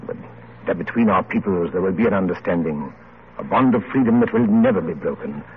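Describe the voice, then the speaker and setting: A man's voice: deep voice, expressive, brisk. An actor playing a genial, reflective older English man of letters, courtly and oratorical, in an old radio play. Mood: grave, reflective. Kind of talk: 1940s radio drama acting. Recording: muffled, noisy vintage radio recording.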